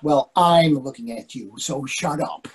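Older man acting a husky, fretful husband. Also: on the high side, a little slow. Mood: tender. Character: anxious, fretful middle-aged husband and father. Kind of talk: readers theatre play reading. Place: indoors, on a video call.

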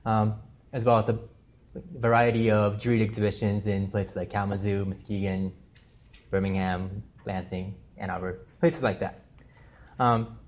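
A man's voice: on the low side, a little mellow, dull audio. A young man from Japan, whose first language is Japanese, giving a casual, chatty talk about his art to students in a lecture room, full of friendly fillers. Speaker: artist giving a gallery lecture. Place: university lecture room with projector screen.

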